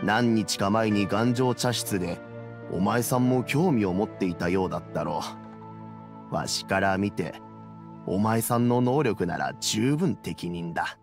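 An actor playing a shrewd, dignified elderly man in an anime game, gravelly, measured and grave. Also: lowish voice, lively intonation. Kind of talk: Japanese anime game voice acting. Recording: music behind the voice.

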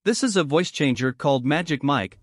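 A man reading scripted narration for a promotional software tutorial. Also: a little high, lively intonation, brisk, no echo, studio quality.

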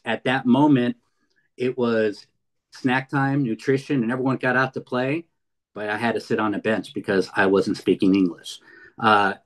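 A man in his fifties from California's San Fernando Valley, giving a heartfelt webinar talk over a video call with many fillers and restarts. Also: medium pitch. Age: 50s. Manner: earnest, frequent fillers and restarts. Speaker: guest speaker. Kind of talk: webinar presentation.

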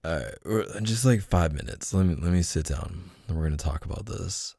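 Low, gravelly laid-back boyfriend, played by a man. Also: normal speed, warm tone, no room sound, studio quality. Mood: conciliatory. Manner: casual, hesitant, sighing, coaxing with pet names. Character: laid-back, apologetic young boyfriend soothing his neglected partner. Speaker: roleplay audio performer. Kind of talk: boyfriend ASMR roleplay, casual intimate one-sided dialogue.

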